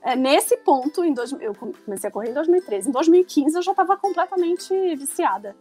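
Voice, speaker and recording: A woman in her forties from Rio de Janeiro with a Carioca accent, a guest on a casual podcast, chatty and quick to laugh. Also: high-pitched, lively intonation, warm tone, soft background music. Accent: Carioca Brazilian Portuguese. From Rio de Janeiro, Brazil. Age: 40s. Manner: chatty, laughing easily, many restarts.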